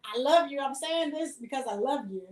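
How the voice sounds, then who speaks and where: A woman co-hosting a podcast in casual chat, agreeable and quick to affirm. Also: high voice, lively intonation, darkish tone. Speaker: podcast co-host. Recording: echoey room, far from mic.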